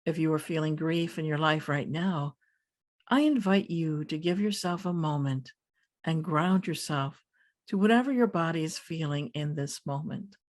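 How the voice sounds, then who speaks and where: A woman leading a guided meditation as a teacher, speaking calmly in deliberate phrases with pauses. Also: low-pitched.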